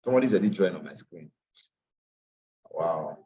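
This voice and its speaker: A man's voice: low-pitched, lively intonation, fairly quick, warm tone. A tired man teaching a live online trading class, repeating phrases and slipping into Pidgin asides. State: tired after a long day. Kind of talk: live online class walking through charts. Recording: distant, dull lo-fi call audio.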